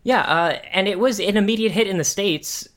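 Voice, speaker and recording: A man co-hosting a podcast, talking casually and thinking aloud in a halting way. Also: sits high, fairly bright tone. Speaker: podcast co-host. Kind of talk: casual discussion of animation.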